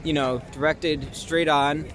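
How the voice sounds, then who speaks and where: A young American man from Long Island gives casual interview answers. His voice is slightly high and he hesitates, thinking as he goes. It is recorded outdoors with plain urban noise around him. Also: steady tone, slow, fairly bright tone. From Long Island, New York, USA. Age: young adult. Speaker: interview guest, actor and artist. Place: outdoors in a city, with traffic.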